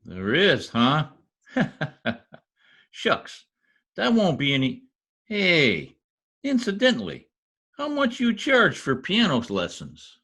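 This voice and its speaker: A man's voice: fairly high pitch, huge pitch swings, slow pace, pauses often, fairly bright tone, full character voice. A man playing a folksy, cocky, stubborn husband in an old-time radio comedy. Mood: curious.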